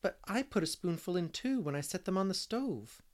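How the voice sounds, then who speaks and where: A man's voice: high voice, big pitch swings, moderate pace, pitched above the performer's own voice. A man voicing an earnest, anxious and polite young girl in a storybook reading. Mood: puzzled.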